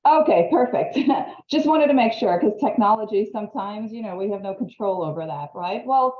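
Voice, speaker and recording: A woman's voice: mid-range voice, expressive, moderate pace, echoey room. Woman lecturing over a tinny video call. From Trinidad. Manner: friendly, chatty, laughs easily. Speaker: marketing instructor. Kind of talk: online presentation. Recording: phone-like video call audio.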